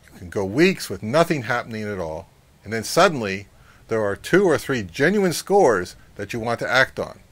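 A middle-aged man giving advice to camera as a channel host, speaking in a measured, instructive way. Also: fairly low pitch, very animated, fairly bright tone, dry recording. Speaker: host of a vintage audio channel. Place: outdoors, among trees or on a porch.

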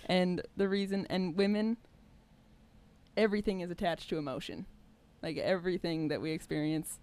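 A young woman co-hosting on stage, explaining things in an animated, casual, conversational way into a microphone. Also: fairly low pitch, normal speed, clean tone. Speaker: co-host and musician. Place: small indoor stage with curtain. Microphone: stage microphone on a stand.

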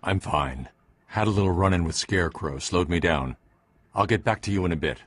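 An actor playing a terse masked male vigilante in a video game. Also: brisk. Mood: calm. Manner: terse, low, clipped.

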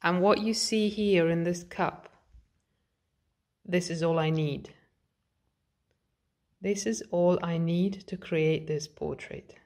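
A portrait artist with a British accent walks through her home studio, naming her tools as she films on a phone. Her voice sits a little low and she speaks slowly and calmly. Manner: calm, explanatory, pointing things out. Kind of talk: guided studio tour narration. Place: home art studio, indoors.

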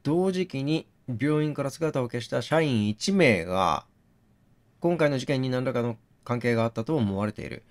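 Guy doing a lets play, voice goes up and down a lot, reads the text out n thinks out loud. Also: medium pitch, lively intonation, normal speed. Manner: thinks out loud, questioning himself and reasoning step by step. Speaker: game streamer reading on-screen text aloud. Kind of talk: gaming commentary mixed with read-aloud narration.

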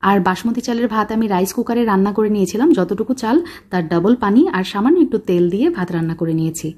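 A woman with a Bangladeshi Bengali accent narrates a cooking recipe at a brisk, rapid clip. Her voice sits at a middling pitch. She talks in a chatty way, walking through each step and naming every measurement. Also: a little mellow. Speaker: cooking channel host.

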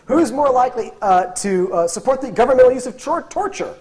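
A man's voice: extremely high pitch, animated, brisk, a little mellow. A man in his thirties giving a lecture in a classroom, speaking animatedly with frequent restarts and fillers, on a lapel mic. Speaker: guest lecturer. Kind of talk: lecture with audience questions. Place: classroom with whiteboard.

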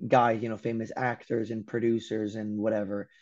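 Young American man, dark lo-fi call. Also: fairly low pitch, moderate pace. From Los Angeles, USA. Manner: animated storyteller, acts out quotes, swears casually. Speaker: guest. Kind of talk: casual interview chat. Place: room at home by a window. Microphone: video call. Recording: dull lo-fi call audio.

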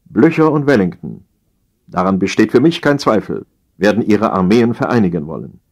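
A man's voice: on the low side, sing-song. A man voicing a self-assured but henpecked emperor, preoccupied with command, in a comic audio story. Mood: resolute. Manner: slow muttering to wife, commanding to generals.

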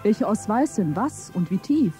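An actress playing an interviewer in a stylised radio play, feeding leading fill-in-the-blank questions. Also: female voice, medium pitch, sing-song, unhurried, mellow, soft background music. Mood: prompting. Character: prompting female quiz-style interviewer feeding leading questions.

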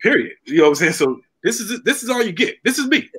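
A man's voice: high voice, big pitch swings, fast talker, a bit bright, husky. A man chatting casually as a guest on a video-call panel, rambling and repeating phrases for emphasis. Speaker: talk show guest, comedian.

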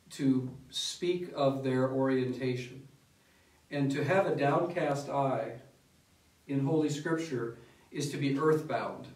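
An older man, a priest, explaining scripture in a measured, casual way at a table. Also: mid-range voice, a little mellow. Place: dining room at a table with others. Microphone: far camera. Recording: echoey room from far off.

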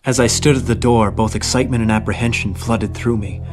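A man's voice: mid-range voice, steady tone, fairly quick, natural voice. A man playing a grave, reflective narrator recalling his haunted past in a scripted horror audio drama. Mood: solemn, reflective. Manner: solemn, literary. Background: guitar music.

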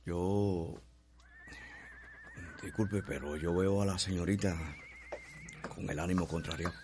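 An actor playing a subdued, deferential enslaved stable hand in a radio drama, with a Cuban accent and a humble, guarded way of talking. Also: male voice, sits low, slow, a little hiss or hum. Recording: music behind the dialogue.

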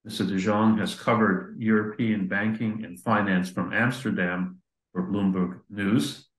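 A man's voice: lowish voice, steady tone, unhurried, echoey room, rough recording. An older man hosting an interview over a video call, giving a formal introduction with some fillers. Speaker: interview host. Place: office indoors. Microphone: computer mic on video call.

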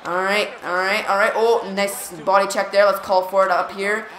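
A woman giving excited play-by-play of a hockey video game, stuttering and repeating words as she reacts. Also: mid-range voice, moderate pace. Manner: jumpy, reactive, lots of "come on" and "here we go". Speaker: gaming channel host. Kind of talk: excited live gameplay commentary. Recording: roomy echo.

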